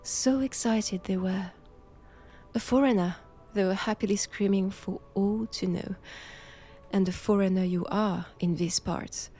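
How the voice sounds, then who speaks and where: Woman playing a knowing nun captor, French accent, unhurried slow delivery, teasing menace, archaic formal wording, intimate audio roleplay. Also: medium pitch, steady tone, warm tone, faint music behind, lightly acted. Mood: amused, teasing. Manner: measured, teasing, formal old-fashioned phrasing.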